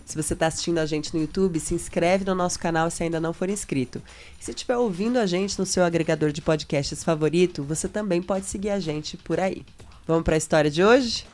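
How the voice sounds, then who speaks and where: A woman with a slightly low voice hosts a business podcast. She reads her scripted intro in a clear, measured way and then turns to calm interview questions. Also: faint music behind.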